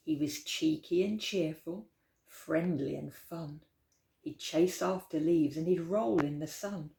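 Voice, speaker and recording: A woman reading a rhyming children's picture book aloud as a storytime host, with expressive, dramatic emphasis. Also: low-pitched, slow pace, vocal fry. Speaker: library storytime reader. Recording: roomy, echoey indoor sound.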